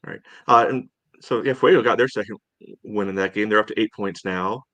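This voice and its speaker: A man co-hosting a soccer podcast in casual discussion, thinking aloud in a halting way. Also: fairly low pitch, a bit bright.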